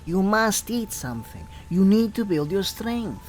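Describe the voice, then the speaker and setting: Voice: mid-range voice, sing-song, unhurried, darkish tone, faint music behind. An actor playing a young, deferential male servant to a painter in an audio drama. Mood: anxious, deferential. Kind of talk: scripted audio drama dialogue.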